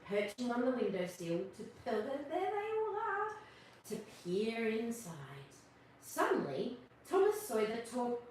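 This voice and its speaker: A woman reading a picture book aloud to children as host, with expressive narration and asides to young listeners. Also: mid-range voice. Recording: distant, very echoey room, faint noise.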